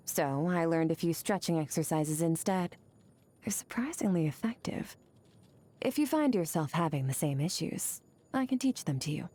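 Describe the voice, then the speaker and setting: A woman playing a composed, aloof warrior in a video game, speaking in a measured, reflective way. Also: low-pitched, animated, warm tone. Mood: matter-of-fact. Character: composed, aloof female warrior musing on life and training. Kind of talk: scripted game character voice lines.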